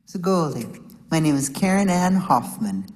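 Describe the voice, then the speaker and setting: A middle-aged woman, a beadwork artist, giving a measured, prepared narration about her work. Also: low voice, expressive, a little mellow, in a large hall. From Wisconsin, USA.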